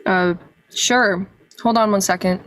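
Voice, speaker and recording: A woman's voice: medium pitch, steady tone, measured pace, no echo, close to an everyday voice. A young woman playing a bossy, worried older sister in an amateur radio play. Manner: scolding, exasperated.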